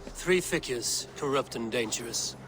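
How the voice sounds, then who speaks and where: A man's voice: mid-range voice, animated, measured pace, background noise, close to an everyday voice. Resolute Viking warrior, acted by a man, outdoor din behind. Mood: grave, urgent. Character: resolute male Viking warrior, grim and forthright. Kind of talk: dramatic video game acting.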